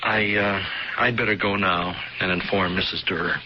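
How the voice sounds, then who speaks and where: An actor playing a formal, businesslike police investigator in a measured, official manner, in a 1970s radio mystery. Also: male voice, low voice, steady tone, close to an everyday voice. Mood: grave, official. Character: formal, businesslike male police investigator breaking grim news. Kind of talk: 1970s radio drama acting. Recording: dull lo-fi old radio recording, faint noise.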